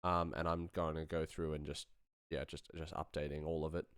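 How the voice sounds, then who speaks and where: A man's voice: a bass voice, level tone, brisk, fairly bright tone, clear, resonant tone, no echo, clean studio sound. A man hosting a live stream, chatting informally and thinking aloud as he works on sound design. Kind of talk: casual tutorial chat while working.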